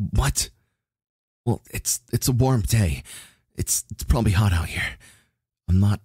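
A man voicing a flustered, brooding but tender young warrior in a romantic roleplay ASMR, husky and halting, close to the microphone. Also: fairly low pitch, expressive, dark tone, dry recording, exaggerated voice. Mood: flustered, grudging. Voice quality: husky, breathy. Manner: halting, stammering, sighing.

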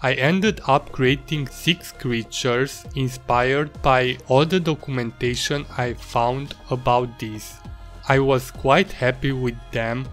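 A man with a Hungarian accent talks through his drawing in a mid-range voice, unhurried and a little halting in his English. Soft music plays underneath. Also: mid-range voice, steady tone. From Romania, Transylvania. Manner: measured, slightly halting non-native English. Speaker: illustrator narrating his drawing process. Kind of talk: voice-over narration.

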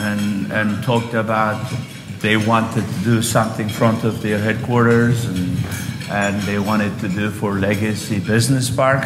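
Older turkish-accented man, low voice, rambling talk, ballroom echo and dishes clinking. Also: background noise. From Istanbul, Turkey. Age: 60s. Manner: relaxed, rambling, many fillers and restarts. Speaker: guest speaker, real estate developer. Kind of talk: onstage interview storytelling. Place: large hotel ballroom luncheon on stage. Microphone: lapel mic. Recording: echoey ballroom with long reverb, loud dish clatter. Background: clinking cutlery and dishes.

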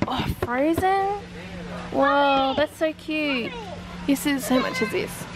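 A woman in her forties with an Australian accent, chatting excitedly and laughing as she films a shopping trip on a handheld camera inside a big warehouse store. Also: high-pitched, slow pace, rough recording. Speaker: family vlogger. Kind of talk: casual vlog narration while shopping. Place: large warehouse store indoors.

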